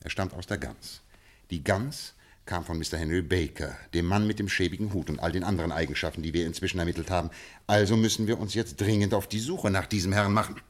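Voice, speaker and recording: Detective, very deep voice, measured, authoritative, 1950s radio drama. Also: male voice. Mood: explaining. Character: sharp, commanding male detective, middle-aged. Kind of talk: 1950s German radio play acting.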